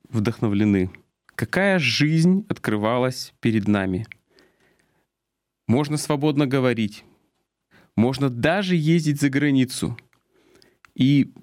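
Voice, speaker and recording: Middle-aged man, halting radio commentator, dry studio. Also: medium pitch, darkish tone, no echo. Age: 40s. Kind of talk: political commentary on community radio.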